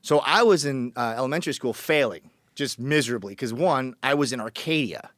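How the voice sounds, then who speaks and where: A man's voice: medium pitch, expressive, brisk, a bit bright, no room sound, studio quality. A man from Colombia telling his story as a podcast guest, blunt and joking, acting out the people he quotes. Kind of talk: casual storytelling.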